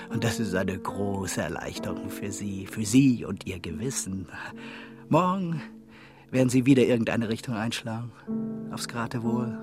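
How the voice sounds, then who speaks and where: A woman's voice: rumbling low, heavily acted. A woman playing a watchful observer who narrates an eerie, absurd tale in a radio play, in a dramatic scripted style. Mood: brooding.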